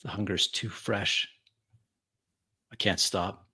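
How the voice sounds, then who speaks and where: Low-voiced man narrating dramatic roleplay. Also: steady tone, pushed and bright, husky. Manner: deliberate, broken phrasing with long pauses. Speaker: tabletop roleplay player. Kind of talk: dramatic improvised first-person narration.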